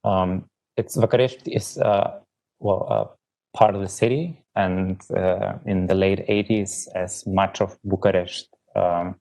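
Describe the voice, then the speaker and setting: A man in his thirties from Slovenia speaks in a low, gravelly voice with a dark tone. He answers slowly and thoughtfully, pausing often and restarting his sentences as he thinks aloud. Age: 30s. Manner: halting, thinks aloud with many ums and restarts. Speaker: poet guest. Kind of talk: reflective interview answers.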